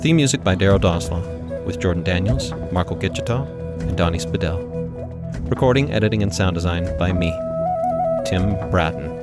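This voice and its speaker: Low-voiced man announcing over music. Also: expressive, moderate pace. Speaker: announcer, sound designer. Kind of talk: scripted credits narration.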